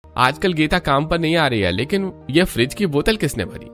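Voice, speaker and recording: A man voicing a trusting, puzzled young neighbour in a cartoon, expressive in manner. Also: a little high, normal speed, faint music behind. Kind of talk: cartoon voice acting.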